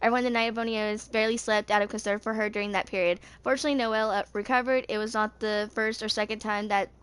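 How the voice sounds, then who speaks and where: A woman's voice: mid-range voice, steady tone, fast talker, a bit bright, clear, resonant tone. A woman in her twenties reading video game character lore aloud, stumbling over words now and then. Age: 20s. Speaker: gaming video creator.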